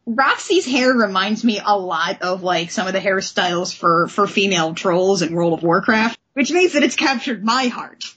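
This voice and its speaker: A woman's voice: medium pitch, expressive, normal speed, hardly any pauses, fairly bright tone, breathy edge. A woman hosting a casual comic book discussion, speaking in an enthusiastic, informal way. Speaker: podcast host.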